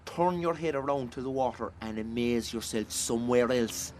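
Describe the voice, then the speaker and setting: An actor playing a world-weary young Irish beggar in a radio play, curt, sarcastic and irritable. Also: male voice, medium pitch, moderate pace, a little mellow, a little hiss or hum, close to an everyday voice. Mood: sarcastic, defensive.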